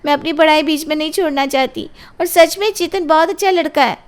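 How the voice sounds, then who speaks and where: A woman's voice: high-pitched, brisk, pitched above the performer's own voice, natural voice. A woman voicing an anxious college-age daughter in an audio drama, pleading and defending herself.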